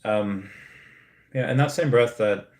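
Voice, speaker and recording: Man in his thirties, streamer host, rambling casual delivery, slightly low voice, echoey room. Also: measured pace, darkish tone. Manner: rambling, many restarts, laughs at comments. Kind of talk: casual livestream chat reading comments.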